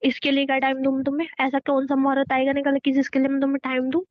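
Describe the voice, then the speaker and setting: Woman acting high-pitched, sarcastic young girlfriend. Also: completely flat delivery, fast talker, no room sound, muffled recording, natural voice. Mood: insistent. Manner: sarcastic, scolding, mixes Hindi with English. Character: bossy, teasing young girlfriend nagging her boyfriend. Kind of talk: acted phone-call conversation between a couple.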